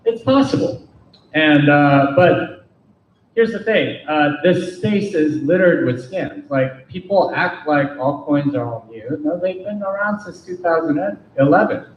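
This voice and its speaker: A man's voice: on the high side, lively intonation, measured pace. A man speaking bluntly at a meetup, firing rhetorical questions at the audience, heard from a distance. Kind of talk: meetup Q&A talk. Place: meeting room. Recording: roomy, distant, dull lo-fi sound with faint noise.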